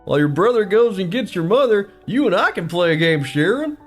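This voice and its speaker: A man's voice: high-pitched, very animated, a little fast, faint music behind. A man playing a sinister, coaxing TV clown who talks to children in a horror audio drama. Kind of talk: kids' show parody in audio horror.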